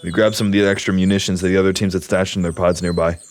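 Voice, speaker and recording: A man playing an embittered, defiant sergeant in a sci-fi audio drama, with a gruff, sardonic way of talking. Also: sits low, flat delivery, rapid-fire, natural voice. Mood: casual. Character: embittered male special-forces soldier, a defiant sergeant.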